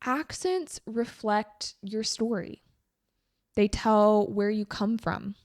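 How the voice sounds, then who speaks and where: A young woman hosting an English-learning podcast, talking calmly and encouragingly as a teacher. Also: medium pitch. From United States. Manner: calm, encouraging, slow and deliberate. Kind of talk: scripted educational podcast talk.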